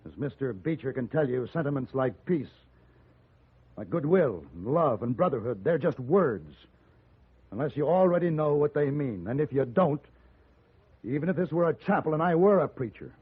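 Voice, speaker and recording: An actor playing a principled, level-headed gunslinger in a radio Western, measured and articulate, on a tinny old broadcast. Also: male voice, medium pitch, expressive, husky. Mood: grave, persuasive.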